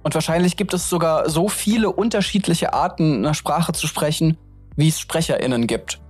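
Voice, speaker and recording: Host: man, a bit high, friendly interviewer, soft music under him. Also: normal speed, breathy edge. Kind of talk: radio-style interview and narration.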